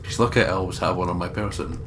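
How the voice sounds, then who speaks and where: A man's voice: deep voice, rapid-fire. A man hosting a let's play, reading game narration aloud and adding casual commentary. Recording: clearly noisy background over game.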